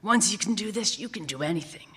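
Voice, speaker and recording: A woman plays a gruff dad from a personal story, urging his kid on in punchy staccato bursts. The voice rings through a long, reverberant hall. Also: lively intonation, fast, slight background noise, natural voice. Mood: urgent, encouraging. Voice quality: loud, deep dad voice. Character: gruff, thunderous dad coaching his daughter to drive. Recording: echoing hall of a live reading.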